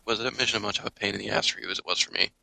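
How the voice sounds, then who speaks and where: A man's voice: fairly low pitch, steady tone, racing, vocal fry, pushed and bright, no echo, poor audio. A man chatting casually about a video game as a panelist on a podcast.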